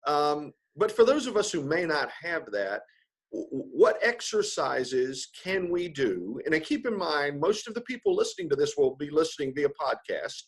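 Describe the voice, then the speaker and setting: Man, raspy, fairly high voice, podcast host, casual, halting, informal interviewing. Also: big pitch swings.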